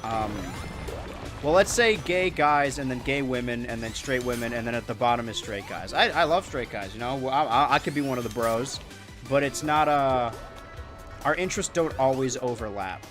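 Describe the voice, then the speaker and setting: A young American man with a monotone voice, chatting loosely while streaming a video game, rambling with many restarts. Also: medium pitch, normal speed, faint music behind. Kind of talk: casual gameplay chat.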